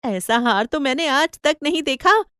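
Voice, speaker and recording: A woman voicing an excitable, hardworking mother in an animated story, with exclamatory, wondering delivery. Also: high-pitched, normal speed, no room sound, clean studio sound. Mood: amazed. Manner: exclamatory, wondering, mildly scolding. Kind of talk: animated Hindi storybook acting.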